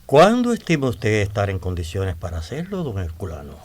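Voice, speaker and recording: An actor playing a self-assured, scheming blackmailer in a radio drama, speaking in a deliberate, insinuating, theatrical way. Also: male voice, fairly low pitch, huge pitch swings, no room sound. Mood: calculating, probing. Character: scheming, self-assured male blackmailer proposing a treasure alliance.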